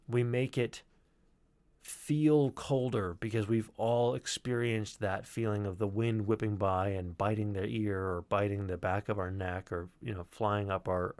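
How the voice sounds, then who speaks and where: A man presenting as a sound designer, talking through his work in a reflective, conversational way. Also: fairly low pitch. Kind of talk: informal explanatory walkthrough on a livestream.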